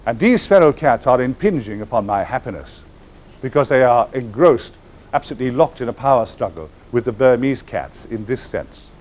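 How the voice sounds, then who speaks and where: A man telling comic stories to a live audience in grand, florid words with sharp comic timing. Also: lively intonation, normal speed. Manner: grand, florid wording with comic timing and sudden swings in pitch. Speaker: actor telling stories on stage. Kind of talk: theatrical anecdote to a live audience. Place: stage before an audience.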